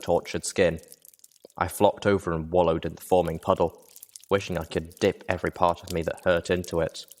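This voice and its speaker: A man reading a dramatic first-person story as an audiobook narrator, measured and expressive. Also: deep voice. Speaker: audiobook reader.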